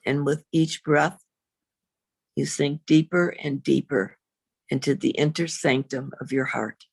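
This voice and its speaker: A woman reverend leading a guided meditation in a slow, soothing, unhurried way, with long pauses. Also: fairly even intonation, dry recording. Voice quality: low, husky, creaky.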